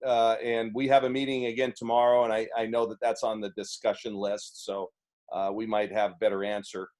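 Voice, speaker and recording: A man with a booming voice answering press questions as a head coach, earnest and halting with restarts, on a video call. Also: flat delivery, a little mellow.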